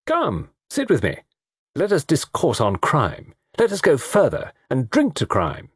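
A man voicing a flippant, lovesick young society gentleman with a theatrical, bantering, mock-gloomy way of talking. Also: on the high side, wildly swooping pitch, measured pace, breathy edge, no echo, studio quality, pitched above the performer's own voice, heavily acted. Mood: jovial, flippant.

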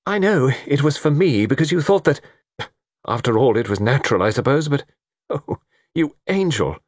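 Male narrator as ardent gentleman lover, raised pitch, wide swoops, impassioned broken phrasing, protective, storybook romance. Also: expressive, normal speed, dry recording, clean studio sound, close to an everyday voice. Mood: tender, anguished.

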